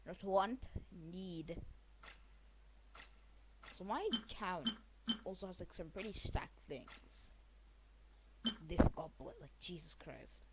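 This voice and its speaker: A woman's voice: fairly low pitch, noisy background. A young woman giving casual gameplay commentary, slangy and rambling as she thinks aloud. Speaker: gaming video creator. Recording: loud game music behind voice.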